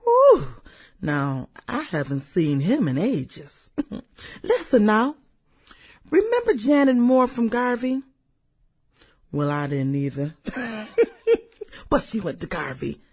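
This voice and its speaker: Woman playing vain gossipy ex-cheerleader, radio satire, slow sassy drawl, husky edge, theatrical exclamations. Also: fairly high pitch, huge pitch swings, darkish tone, heavily acted. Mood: chatty excited. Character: brash, vain, gossipy former cheerleader at reunion chat. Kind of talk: satirical radio drama acting.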